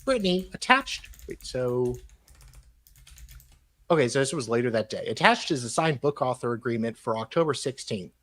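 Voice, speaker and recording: A man's voice: medium pitch, big pitch swings, normal speed, fairly bright tone. A man hosting a live show, reading emails aloud in a dramatic style and breaking off for casual, mocking asides.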